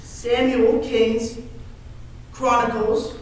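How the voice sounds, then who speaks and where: Echoey, earnest, awkward young suitor played by an actor. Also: male voice, very high-pitched, flat delivery, very slow, exaggerated voice. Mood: eager. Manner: earnest, plain, polite. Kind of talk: amateur stage acting. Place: indoor school stage, recorded from afar. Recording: echoing far-off stage recording, faint noise.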